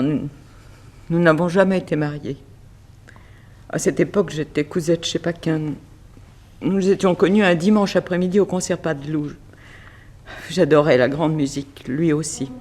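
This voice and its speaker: Dignified, grieving fashion house director. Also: female voice, deep voice, normal speed, pauses often, dark tone. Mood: nostalgic, sad. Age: middle-aged. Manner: formal, sorrowful, theatrical. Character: bereaved mother, dignified head of a fashion house. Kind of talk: 1960s French radio mystery acting.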